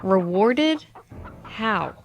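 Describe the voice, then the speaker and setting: A woman's voice: medium pitch, very animated, very slow, vocal fry, full character voice. A woman playing a practical young adventurer in an audio drama, reasoning in a matter-of-fact way. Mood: wary. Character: level-headed, practical young female adventurer.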